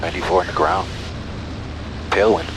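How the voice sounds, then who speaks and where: A man's voice: low-pitched, fairly even intonation, moderate pace. A man piloting a small plane, talking in clipped radio calls and casual cockpit self-narration into a headset. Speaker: private pilot and aviation vlogger. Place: cockpit of a small airplane in flight. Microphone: aviation headset. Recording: loud small-airplane cabin noise.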